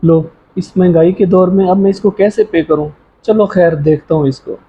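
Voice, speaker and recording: A man's voice: high-pitched, mellow, soft background music, lightly acted. A man playing a humble, troubled uncle short of money in an amateur moral drama, plaintive and respectful. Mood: worried, protesting. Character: troubled, humble, hard-up younger brother and uncle. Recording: slightly dull lo-fi sound.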